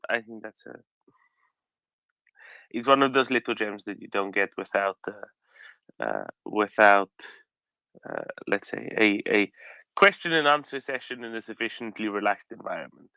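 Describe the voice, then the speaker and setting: A man's voice: lowish voice, measured pace, gravelly, pauses often, bright, forceful tone, smooth, dry recording, low-quality recording. A man hosting an online audio room, musing aloud with frequent hesitations and fillers.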